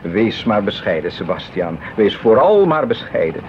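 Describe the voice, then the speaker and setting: An actor playing an effusive 18th-century prince in a Dutch radio drama, speaking emphatically in a muffled, noisy old recording. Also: male voice, on the low side, very animated, moderate pace. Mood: hearty, jovial. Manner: effusive, emphatic, repeats phrases.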